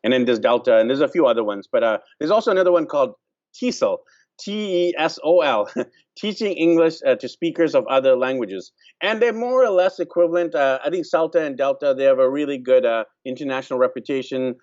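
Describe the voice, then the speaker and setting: A man hosts a relaxed explanatory chat, his voice sitting at an ordinary middle pitch. He often pauses with ums and restarts his sentences. The recording sounds slightly dull and muffled. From Vancouver, Canada. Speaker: host. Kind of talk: casual explanatory talk.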